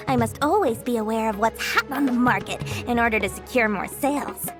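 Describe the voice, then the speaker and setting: A woman playing a sly, greedy young female merchant in an anime game, flattering customers in flowery, theatrical sales talk. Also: fairly high pitch, natural voice.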